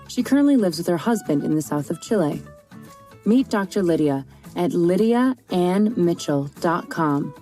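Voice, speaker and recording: Female podcast host, low mellow voice, even scripted read, faint music underneath. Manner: even, read-aloud delivery. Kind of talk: scripted introduction.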